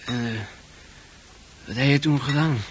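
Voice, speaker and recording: A man's voice: mid-range voice, steady tone, a little slow, husky. An actor in a Dutch radio play, playing a naive, heartbroken young man who is shy and stammers hesitantly. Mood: glum, hesitant. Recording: radio play with music behind.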